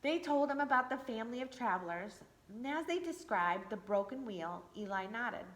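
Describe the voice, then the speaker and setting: A woman reading a picture book aloud to children at church, expressive with playful asides. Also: fairly high pitch, moderate pace. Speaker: church storyteller.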